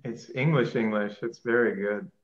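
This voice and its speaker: A man's voice: lowish voice, steady tone, moderate pace, highs cut off. A man in a casual group chat over a video call, making dry, joking asides.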